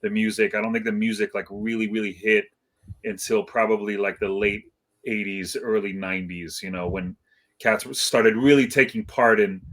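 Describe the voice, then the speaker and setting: A man's voice: fairly low pitch, fairly even intonation, moderate pace, fairly bright tone. A middle-aged man from Iceland who moved to California young, chatting as an interview guest in a hesitant, reflective, slangy and rambling way. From Iceland, moved to California at seven. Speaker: guest, hip hop DJ. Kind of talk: casual interview chat.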